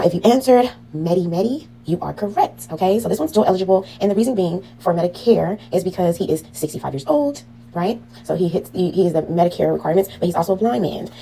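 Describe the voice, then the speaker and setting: A woman's voice: lowish voice, expressive, fast, gravelly, dark tone, slight background noise, rough recording. A woman teaching a casual online class on medical billing, full of "um"s and "okay?" checks to her students. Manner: chatty, frequent "um" and "okay?" check-ins.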